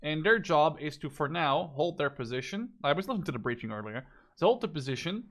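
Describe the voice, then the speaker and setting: A man's voice: on the high side, animated, fast, fairly bright tone. Casual man commentating on gameplay. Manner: informal, thinking aloud, mildly profane. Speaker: video game spectator commentator.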